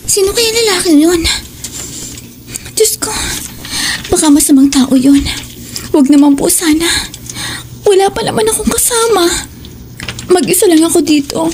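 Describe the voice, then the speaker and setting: A high-pitched Filipino mother in a radio-style horror drama, caring and watchful acted by a woman. There is faint noise with small clicks behind her. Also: animated, moderate pace, long pauses. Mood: wary, alarmed. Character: caring, wary rural housewife and mother. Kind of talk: audio drama acting.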